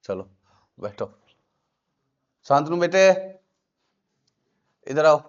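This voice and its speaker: A man's voice: fairly high pitch, lively intonation, very slow, clean tone. A male commerce teacher, lecturing in a classroom and quizzing his students with repeated questions. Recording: dull lo-fi classroom recording.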